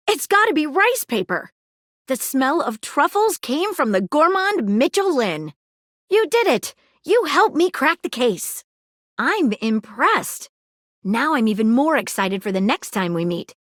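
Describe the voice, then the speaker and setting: A woman's voice: high-pitched, a bit bright, no room sound, clean studio sound, full character voice. A woman voicing an eager, inquisitive young female detective in a video game, earnest and full of facts. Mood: excited. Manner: earnest, inquisitive, fact-loving. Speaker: voice actor. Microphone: studio mic, dry booth.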